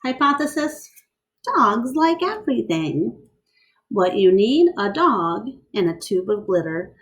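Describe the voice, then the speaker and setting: A woman with a mid-pitched voice reads aloud to children. She presents the text in an instructive, teacherly way, setting out each part clearly. She adds simple explanations and addresses questions directly to her young listeners. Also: very animated, takes it slowly. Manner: instructive, asks the listener questions, enunciates steps. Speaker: teacher reading a picture book aloud.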